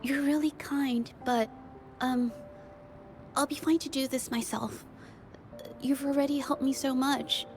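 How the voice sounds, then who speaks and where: A woman's voice: high-pitched, fairly even intonation, a little slow, long pauses, darkish tone. An actress voicing a shy young female painter in a game, earnest and stammering, with faint music underneath. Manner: stammering, hesitant. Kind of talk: game voice acting.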